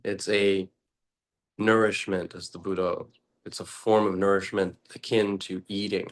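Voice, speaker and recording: A man leading a guided meditation talk as a teacher, speaking deliberately in short, halting phrases with long pauses. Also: low-pitched, flat delivery.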